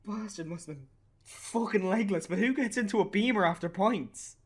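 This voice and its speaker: An actor with an Irish accent playing a grieving, bitter young father in a naturalistic radio play, halting and sweary. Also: high-pitched, husky. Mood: bitter anger. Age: young adult.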